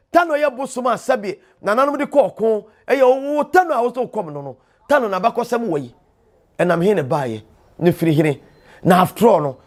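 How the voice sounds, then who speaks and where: Male storyteller-host, Ghanaian accent, high voice, impassioned teaching delivery with emphatic repetition. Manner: emphatic, proverb-laden, repeats phrases for emphasis.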